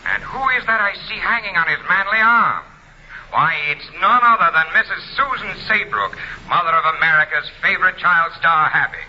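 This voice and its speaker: A man playing an excitable announcer at a gala premiere in 1940s radio drama style, with showy, gushing broadcast patter. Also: high voice, moderate pace, close to an everyday voice. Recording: dull, noisy old radio recording.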